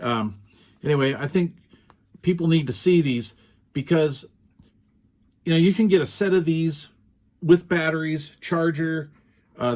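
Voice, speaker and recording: A middle-aged man reviewing a small two-way radio in a relaxed, rambling way, with lots of fillers. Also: mid-range voice. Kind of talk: casual product review.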